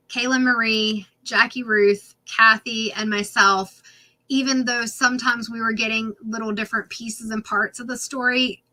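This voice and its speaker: A woman's voice: a little high, sharp tone, rough recording. A woman co-hosting a casual paranormal podcast, speaking earnestly and giving advice, with frequent ums and restarts, her voice a little worn. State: losing her voice, clearing throat. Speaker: co-host.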